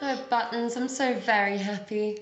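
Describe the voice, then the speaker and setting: A woman's voice: medium pitch, steady tone, normal speed, lo-fi sound, close to an everyday voice. A young woman playing a sweet, naive servant girl, earnest and innocent, in a comic pantomime. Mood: dreamy. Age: young adult. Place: indoor room with brick wall, video call. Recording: roomy video call, music behind.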